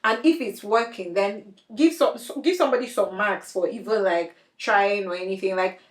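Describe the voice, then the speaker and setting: A woman hosting a podcast interview, chatty and quick to laugh, using slang. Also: medium pitch, roomy sound. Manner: chatty, laughs often, uses Nigerian slang. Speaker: podcast host. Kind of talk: casual interview chat.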